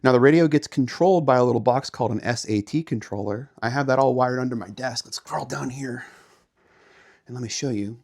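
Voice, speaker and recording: A man's voice: mid-range voice, a little fast, gravelly, a little mellow, dry recording, studio quality. A man giving a casual walk-through tour as host, explaining things in a plain-spoken way. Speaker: host, amateur radio hobbyist. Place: outdoors by a house, then garage and small radio room.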